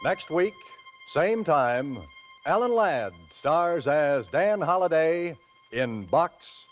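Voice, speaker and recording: A man's voice: a little high, lively intonation, slow. A man announcing a program in dramatic 1940s radio style, on a vintage recording. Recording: dull vintage radio recording, loud music. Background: loud music.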